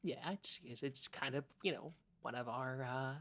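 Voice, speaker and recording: Woman as a nervous, husky, bright-voiced small-town co-host. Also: very deep voice, moderate pace. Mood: nervous, hesitant. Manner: hesitant, stammering, self-doubting. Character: nervous, unsure, earnest small-town co-host named Parker. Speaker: co-host of a comedy news podcast. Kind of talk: improvised mock local public-access news chat.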